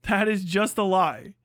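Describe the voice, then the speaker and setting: High-pitched man on a profane, combative rant. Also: fairly bright tone, no room sound, studio quality. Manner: emphatic repetition of phrases. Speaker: livestreamer reacting to a video. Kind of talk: heated off-the-cuff commentary.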